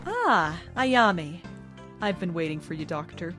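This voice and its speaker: A woman playing a lively, commanding village mayor. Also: lowish voice, measured pace, over music. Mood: composed, satisfied. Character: proud, authoritative village mayor addressed as Your Honor. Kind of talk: fan radio play acting.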